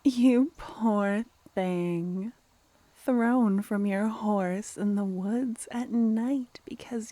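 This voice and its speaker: Female vampire played by a woman for ASMR fantasy roleplay: regal, mischievous, coaxing, very slow delivery, soft and breathy, close dry sound. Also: medium pitch, no room sound. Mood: mock sympathy. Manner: slow, teasing, mock-polite.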